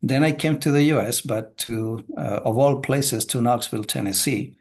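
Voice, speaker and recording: A middle-aged man from Chile, a business coach, talking casually as a podcast guest in a measured way with many filler sounds. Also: mid-range voice, a little mellow, slightly rough.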